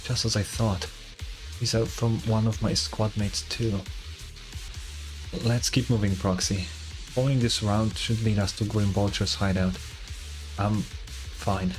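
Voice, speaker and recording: A man voicing a shaken but stoic young female soldier in a quiet, restrained way while reading game dialogue. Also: fairly low pitch, fairly even intonation, unhurried, long pauses, soft background music, lightly acted. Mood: grim composure.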